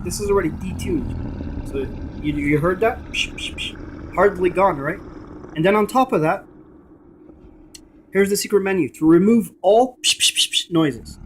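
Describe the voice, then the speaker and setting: A man giving a casual, emphatic and repetitive hands-on gadget demonstration. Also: high voice. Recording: noisy room with device static. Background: radio scanning static.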